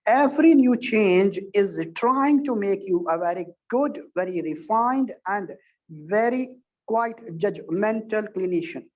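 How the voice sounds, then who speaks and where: A man's voice: high-pitched, lively intonation, a little slow, a little mellow. A man tutoring exam candidates in an online session, lecturing earnestly with frequent repetitions and restarts. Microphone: video call. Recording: dull lo-fi online call audio.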